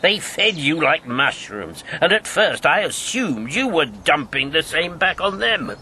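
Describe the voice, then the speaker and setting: An actor playing a guarded, wry older former wartime radio agent in a radio drama, with a terse delivery. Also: male voice, fairly high pitch, sing-song, a little slow, sharp tone, slight background noise, full character voice. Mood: defensive. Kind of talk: naturalistic radio drama acting.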